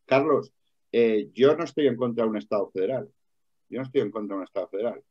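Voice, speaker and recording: A man's voice: medium pitch, darkish tone. A man in a heated debate, arguing hard, repeating his points and talking over the others. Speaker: debate participant. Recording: dull lo-fi recording.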